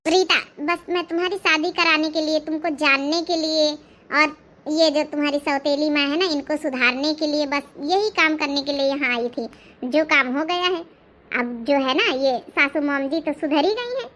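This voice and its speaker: A woman's voice: high voice, normal speed, fairly bright tone. A woman voicing a bold, bossy young woman in a cartoon comedy, with an assertive, theatrical, scolding manner. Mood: firm, friendly. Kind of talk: cartoon comedy drama dialogue.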